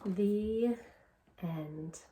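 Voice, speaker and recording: A woman teacher reading a picture book aloud to young children, encouraging and deliberate, putting questions straight to her listeners. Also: mid-range voice, noisy background. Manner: encouraging, asks questions and answers them.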